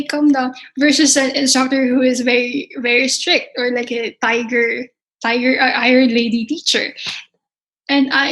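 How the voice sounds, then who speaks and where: A woman's voice: high-pitched, low-quality recording. A young woman from the Philippines with a Filipino accent, a culinary instructor talking casually in an interview, often repeating words as she searches for them. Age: young adult.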